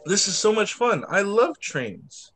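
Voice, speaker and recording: A man voicing an eager little boy playing with toy trains in a picture book, excited and simple in his delivery. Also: high voice, a little slow, exaggerated voice. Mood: excited, bossy.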